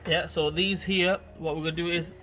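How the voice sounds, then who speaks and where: A man's voice: on the high side, steady tone, a little fast, over music. A man with a blocked, stuffy nose talks viewers through a car repair in a casual, chatty way, recorded on a phone held close to the engine. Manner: chatty, informal, addresses viewers as guys. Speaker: mechanic presenting a repair video. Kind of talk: casual how-to commentary while working.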